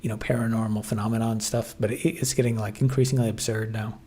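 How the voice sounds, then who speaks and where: A man's voice: lowish voice, little pitch movement, quick pace, mellow, slightly rough. A man hosting a casual livestream, reading chat and musing aloud with lots of fillers and restarts. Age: adult, grew up watching 1990s TV.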